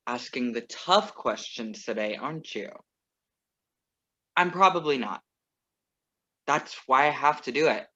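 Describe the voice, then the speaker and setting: A man's voice: medium pitch, lively intonation, measured pace, pauses often, bright, forceful tone. Guy reading as a sarcastic young singer, voice jumping all over, muffled call audio. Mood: wry. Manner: wry, teasing delivery. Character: famous young male singer, sarcastic and restless. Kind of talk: virtual staged play reading. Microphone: video call.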